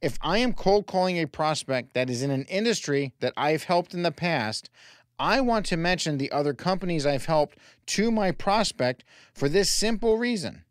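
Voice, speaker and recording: A man's voice: a little high, lively intonation, normal speed, dry recording, studio quality. A man presenting scripted sales training as an instructor, addressing the listener directly and emphatically with rhetorical questions. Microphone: close voice-over microphone.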